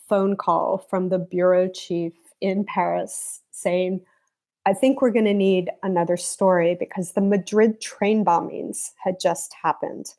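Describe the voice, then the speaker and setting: A woman's voice: mid-range voice, darkish tone. A woman from the United States, a journalist guest, telling her story in an earnest, reflective way during a casual interview.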